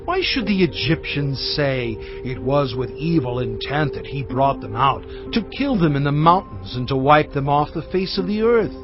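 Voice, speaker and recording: Beseeching prophet for dramatized scripture acted by a man, fairly high voice, earnest, music faintly behind. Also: animated, normal speed, hardly any pauses, lightly acted. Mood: pleading. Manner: impassioned, beseeching. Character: Moses, earnest pleading male prophet. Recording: dull band-limited sound, faint music.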